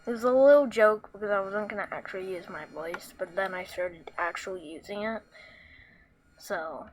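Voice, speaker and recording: A woman with a squeaky voice talking through a casual screen tutorial, rambling with lots of fillers and restarts. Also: moderate pace, background noise. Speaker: tutorial maker.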